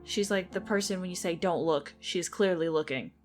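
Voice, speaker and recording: A woman's voice: fairly low pitch, a little fast, a little mellow, faint music behind. A woman gaming and commentating casually, chatting and thinking aloud as she plays. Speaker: game streamer.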